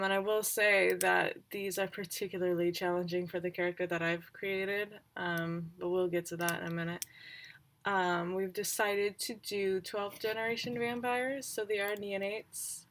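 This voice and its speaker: A woman's voice: medium pitch, gravelly, fairly bright tone. A woman giving a casual, unscripted recap as a tabletop roleplaying player, informal and a little hesitant, correcting herself as she goes.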